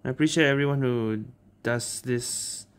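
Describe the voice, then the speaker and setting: A woman's voice: very deep voice, normal speed, clear, resonant tone. A woman giving casual let's-play commentary, giggly and muttering her reactions to the game. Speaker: game streamer. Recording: loud game music behind voice. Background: game music and sound effects.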